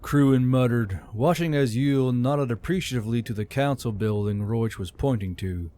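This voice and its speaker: A male audiobook narrator reads scripted fantasy prose. His voice sits at a medium pitch as he delivers the descriptive storytelling. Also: normal speed, mellow.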